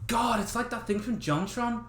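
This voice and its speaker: A young man reading stories aloud on a livestream in a casual, expressive way, swearing and breaking off with joking asides. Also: extremely high pitch, normal speed, a little hiss or hum. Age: young adult. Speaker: livestream host reading fairy tales aloud. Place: small bedroom. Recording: roomy echo of a small room.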